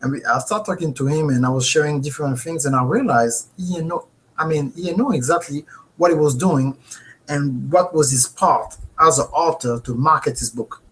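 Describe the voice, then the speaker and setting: A man's voice: on the high side, normal speed, poor audio. French-accented man teaching a live webinar. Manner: earnest, animated, repeats "okay?" to viewers. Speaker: host. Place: home office with bookshelf. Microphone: webcam.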